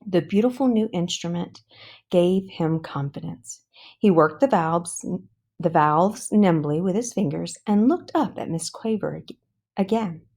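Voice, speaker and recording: A woman narrating a children's book aloud in a careful, deliberate read-along style. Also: fairly low pitch, expressive, darkish tone. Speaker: storybook reader.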